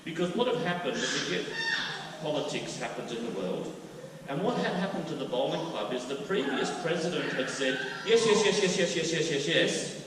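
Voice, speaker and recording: A man's voice: fairly high pitch, expressive, normal speed. Man, middle-aged, chatty church speaker, distant and noisy recording. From Australia. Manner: chatty, loud and storytelling. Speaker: guest speaker. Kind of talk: church talk. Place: church hall. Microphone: handheld mic. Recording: echoey hall from far off, with noise.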